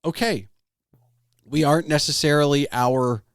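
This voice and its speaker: A man hosting a casual podcast conversation, speaking in a halting, thoughtful way. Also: a little high, clear, resonant tone, no room sound, studio quality. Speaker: podcast host.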